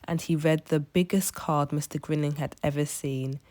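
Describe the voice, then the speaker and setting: Woman storyteller, pretty low voice, reading a kids book out loud. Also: fairly even intonation, moderate pace. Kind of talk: scripted children's story read-aloud.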